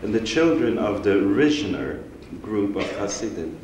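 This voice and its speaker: A man in his sixties, raised in Austria, giving a measured, reflective public lecture as a rabbi from a stage. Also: lowish voice, animated, darkish tone. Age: 60s. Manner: measured, reflective, unhurried storytelling. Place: synagogue hall, seated on stage before an audience. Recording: roomy hall recording with faint hiss.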